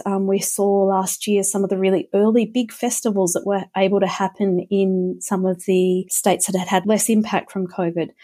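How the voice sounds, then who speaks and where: A woman with a slightly croaky voice, speaking carefully and articulately as a panel guest. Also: medium pitch, mellow. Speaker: panel guest, arts think tank executive. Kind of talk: considered panel discussion.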